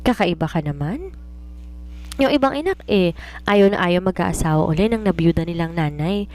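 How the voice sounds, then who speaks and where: A woman's voice: lowish voice, animated, normal speed, a little mellow, lightly acted. A woman voicing an independent, teasing young daughter who writes romances, playful and reasoning in mixed Tagalog and English. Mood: playful, persuading.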